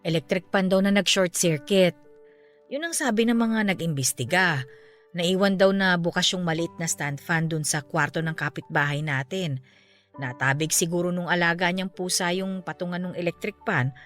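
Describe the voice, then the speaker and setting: An actress playing a middle-aged aunt in a radio drama, speaking calmly and matter-of-factly. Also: female voice, on the low side, normal speed, lightly acted. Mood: somber. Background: faint background music.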